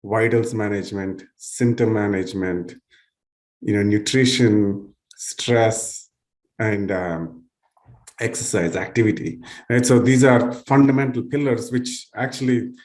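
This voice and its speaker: A man's voice: fairly low pitch, steady tone, unhurried, darkish tone. A man speaking on a panel as a nonprofit tech leader, with loose, searching phrasing and many fillers. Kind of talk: panel discussion.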